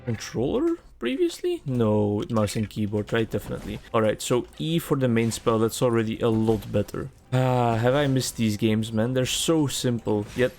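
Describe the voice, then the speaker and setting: A man's voice: mid-range voice, animated, normal speed, creaky, few pauses, darkish tone, slight background noise. A young adult man, a native Dutch speaker, chatting casually and rambling over his gameplay for a video. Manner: chatty, rambling, self-aware. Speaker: gaming video creator.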